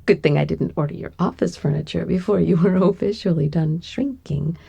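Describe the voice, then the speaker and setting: Breathy, doting giantess secretary, played by a woman. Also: lowish voice, fairly quick, highs cut off, exaggerated voice. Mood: helpful, playful. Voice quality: breathy, soft, often slipping into whisper. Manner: tender, coy, hesitant, giggly asides. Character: gentle giantess secretary, doting, teasing, soothing, grown woman. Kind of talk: ASMR roleplay, intimate one-sided conversation.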